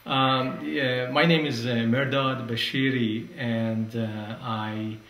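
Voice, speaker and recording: A middle-aged man from Iran, speaking hesitantly with many pauses in a documentary interview. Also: mid-range voice, steady tone, a bit bright, echoey room. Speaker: interviewee, accountant.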